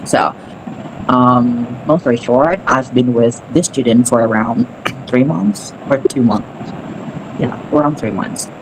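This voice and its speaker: A man from Indonesia, an English teacher, telling a story in a relaxed, chatty way over a video call. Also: mid-range voice, unhurried. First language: Sundanese. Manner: chatty, loose, with many fillers. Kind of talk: casual storytelling in a meeting. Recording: noisy background.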